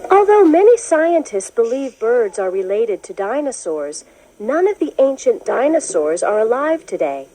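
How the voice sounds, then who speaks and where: A woman narrating a children's educational book, reading in a clear, measured, explanatory way as if to young listeners. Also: fairly high pitch, sing-song, warm tone, low-quality recording. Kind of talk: scripted storybook narration.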